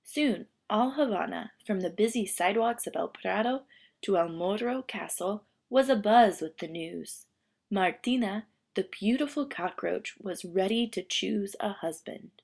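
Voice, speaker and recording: A woman reading a children's picture book aloud as a storyteller, with expressive, deliberate phrasing. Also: medium pitch, gravelly.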